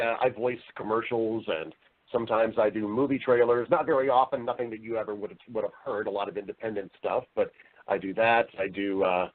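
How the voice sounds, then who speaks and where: A man's voice: medium pitch, phone-quality sound. Chatty man answering as interview guest. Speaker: guest, professional voiceover artist.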